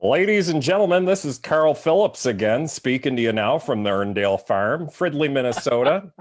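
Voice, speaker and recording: A man's voice: mid-range voice, expressive, moderate pace, natural voice. A man playing an earnest radio reporter, announcing a strange scene in formal, florid language. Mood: dramatic, earnest. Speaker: cast member in an online script reading. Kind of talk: live radio-news dramatic reading.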